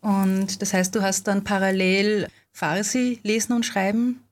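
Interviewer: woman, mid pitch, guides the talk and sums up the guest's answers. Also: level tone, measured pace. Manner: leading follow-up questions, sums up answers. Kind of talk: interview questions.

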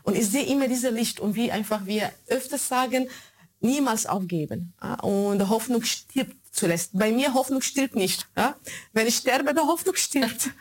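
Arabic-accented woman, halting interview speech. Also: fairly high pitch. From born in Germany, raised in Kuwait and Egypt. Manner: halting, searching for words in non-native German. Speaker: radio show maker being interviewed. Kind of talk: conversational interview answers.

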